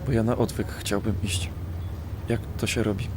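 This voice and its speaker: A man's voice: fairly low pitch, little pitch movement, moderate pace, noisy background, natural voice. An actor playing a troubled, bitter and proud young man with a terse, sweary way of talking in a naturalistic radio drama. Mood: vulnerable, pleading. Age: young adult.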